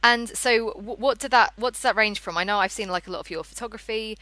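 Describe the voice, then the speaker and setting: A woman's voice: on the high side, quick pace, pushed and bright. A woman hosting a podcast, speaking in a casual, conversational interviewing style.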